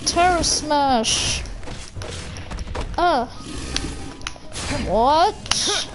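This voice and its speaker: A woman's voice: sits high, lively intonation, very slow. A woman doing casual gameplay commentary in short, playful reactions. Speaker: gamer, channel host. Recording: loud game music behind voice.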